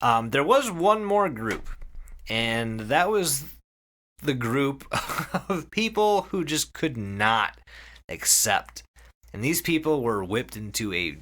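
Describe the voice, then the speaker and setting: A man's voice: medium pitch, sing-song, slow, a bit bright. A man hosting a podcast, summarizing a story casually with swearing, emphatic phrasing and wry asides. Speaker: podcast host summarizing an internet horror story.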